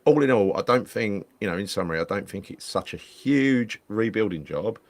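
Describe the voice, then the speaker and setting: A man's voice: on the low side, animated, moderate pace. A man, hosting a casual football chat from home over a video call, rambling and repeating himself as he reads viewer comments. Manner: chatty, rambling, repeats phrases. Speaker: podcast host. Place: home room.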